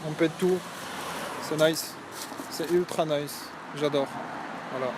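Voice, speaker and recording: A sarcastic young man from France speaks outdoors, a bit higher than usual. Clear street and traffic noise surrounds him. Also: fairly even intonation, takes it slowly. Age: 20s. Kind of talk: sarcastic on-location aside.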